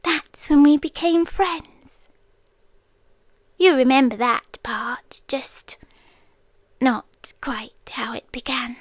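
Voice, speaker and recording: Woman acting a hushed, high-voiced, inhuman British girlfriend. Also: takes it slowly, pauses often, no room sound. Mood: wistful, nostalgic. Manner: soft, hushed, often slipping into whispers. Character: inhuman yandere childhood friend, female forest being, tender, possessive. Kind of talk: intimate ASMR roleplay monologue.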